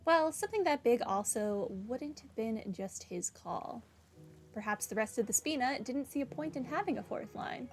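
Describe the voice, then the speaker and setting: A woman's voice: fairly high pitch, lively intonation, moderate pace, faint music behind. A woman giving casual playthrough commentary as a gaming host, with wry, offhand remarks.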